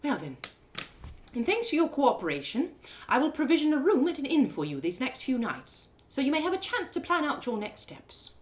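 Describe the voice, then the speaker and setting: A woman's voice: sits high, huge pitch swings, moderate pace, pitched above the performer's own voice. A woman voicing a genteel, authoritative noblewoman in a fantasy audio drama, speaking formally and with firm courtesy. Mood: gracious. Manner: formal, lofty, commanding.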